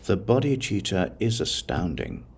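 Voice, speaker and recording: A man reading a religious text aloud as a scripted audiobook, in a measured, solemn way with deliberate pauses. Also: sits low, huge pitch swings, gravelly, darkish tone, breathy edge. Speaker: audiobook narrator.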